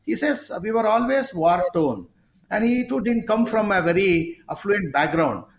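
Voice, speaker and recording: Halting Indian man in an echoey room. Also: high voice, animated. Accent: Indian English. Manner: halting, many fillers, rising emphasis. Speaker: talk show host, sports psychology coach. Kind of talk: conversational interview talk. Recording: roomy echo.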